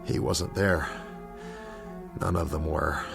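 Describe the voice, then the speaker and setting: Radio drama: haunted middle-aged American veteran patient, deep and creaky, halting delivery, guilt-ridden. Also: male voice, steady tone, full character voice. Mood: haunted, confused. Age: 40s. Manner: halting, repeating words. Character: guilt-ridden, shaken former Air Force captain, forty-one, hospital patient. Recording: studio radio drama with music underneath.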